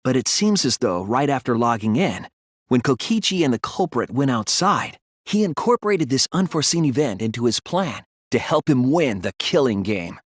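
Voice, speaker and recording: A man voicing an earnest young male detective in a video game, thoughtful and anguished but resolute, with deliberate, analytical phrasing. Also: mid-range voice, big pitch swings, breathy edge, no echo, clean studio sound. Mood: analytical, serious. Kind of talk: dramatic video game voice acting, deductive explanation.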